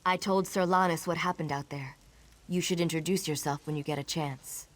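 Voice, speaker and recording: A woman's voice: sits low, fairly even intonation, moderate pace, background noise, close to an everyday voice. An actress playing an earnest, purposeful female battle mage in a scripted fantasy game, with music under her. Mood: urgent, focused. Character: resolute female battle mage rallying allies. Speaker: game character.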